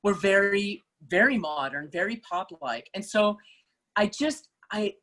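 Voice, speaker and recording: A woman's voice: mid-range voice, expressive, unhurried, crowd noise. Woman opera singer in casual interview chat, thoughtful, restarts often, laughs easily. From Malaysia.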